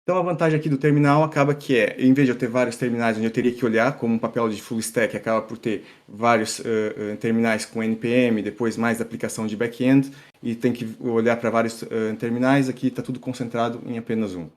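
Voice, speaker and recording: A middle-aged man from São Paulo with an accent mixing Brazilian and European Portuguese, giving a relaxed live-coding talk full of fillers and restarts. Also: mid-range voice, brisk. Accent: mixed Brazilian and European Portuguese. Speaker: tech meetup speaker.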